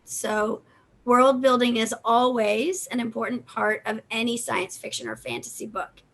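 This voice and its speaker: Slow, creaky-voiced middle-aged woman interviewer. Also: fairly high pitch, lively intonation, a bit bright. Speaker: interviewer, library host. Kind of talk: casual interview questioning. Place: indoor room at home, on a video call.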